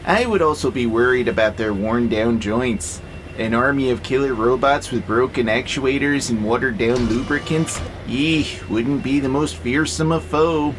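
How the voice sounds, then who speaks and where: A man plays a wry warehouse robot who prefers peace in an audio drama. He talks in a mid-pitched, laid-back voice with a dry, skeptical edge. A faint noise sits behind him. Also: moderate pace, natural voice. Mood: doubtful, dry. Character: wry, easygoing pacifist male warehouse robot who loves nature.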